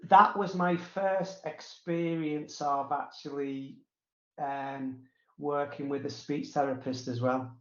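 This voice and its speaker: A man with a stutter, recalling personal experience haltingly, with repetitions, pauses and many fillers. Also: on the high side, steady tone, a bit bright. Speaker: interview guest. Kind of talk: casual personal recollection. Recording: roomy, echoey, dull sound.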